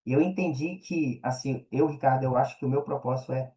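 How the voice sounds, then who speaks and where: Mellow Brazilian man chatting informally. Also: mid-range voice, a little fast, rough recording. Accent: Brazilian Portuguese. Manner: informal, slangy, frequent check-in tags. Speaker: interview guest, affiliate marketer and mentor. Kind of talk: casual conversational interview answers.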